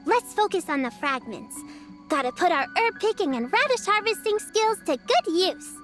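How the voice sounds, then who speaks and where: A woman's voice: very high voice, sing-song, moderate pace, a bit bright, faint music behind, exaggerated voice. A woman voicing a cheerful, caring and responsible little girl in a video game, chatty and encouraging. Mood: caring, upbeat.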